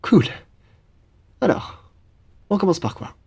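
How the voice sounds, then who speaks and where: A man's voice: sits high, big pitch swings, slow pace, creaky, dark tone, slightly rough, pitched above the performer's own voice, exaggerated voice. A young man playing an upbeat, cheeky student roommate in a French roleplay, with a playful, slangy, enthusiastic manner. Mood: casual, friendly. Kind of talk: casual French roleplay acting.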